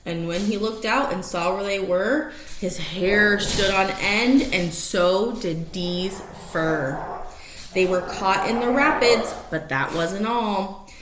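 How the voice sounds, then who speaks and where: A woman reading a rhyming picture book aloud to young children as an animated storyteller, stretching key words and adding asides and questions for the listeners. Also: mid-range voice, slow pace. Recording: roomy echo, faint background noise.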